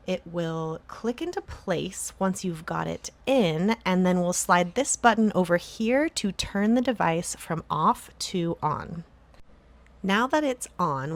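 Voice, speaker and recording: A woman with a slightly low-set voice narrates a how-to guide. Her delivery is scripted and instructional, taking the listener through each step in an orderly, clear way. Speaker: tutorial presenter.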